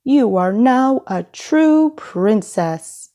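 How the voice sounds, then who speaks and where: A solemn, approving king from a children's storybook, read by a woman. He proclaims slowly in a soft, mellow tone, each phrase rising and falling with ceremony. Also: fairly high pitch, lively intonation, exaggerated voice. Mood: proud, solemn.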